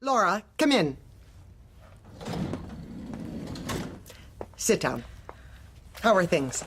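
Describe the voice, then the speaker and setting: Manipulative female boss: low-voiced, officious, falsely polite, dark-comedy audio drama. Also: sing-song, unhurried, slight background noise. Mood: brisk, detached. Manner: clipped, officious, controlled.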